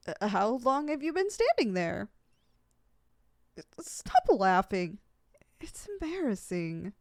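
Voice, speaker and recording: A woman's voice: fairly high pitch, no echo, studio quality, full character voice. A woman playing a proud but easily flustered, lovesick young supervillain in an affectionate, dramatic ASMR roleplay. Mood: flustered, embarrassed.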